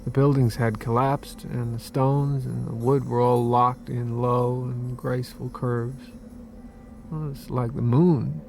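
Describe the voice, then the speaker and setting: Slow, gravelly, haunted survivor. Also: male voice, mid-range voice, fairly even intonation, darkish tone, soft background music. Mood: numb recollection. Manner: slow, hesitant, understated. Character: haunted, subdued American war survivor recalling horrors. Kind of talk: radio drama acting.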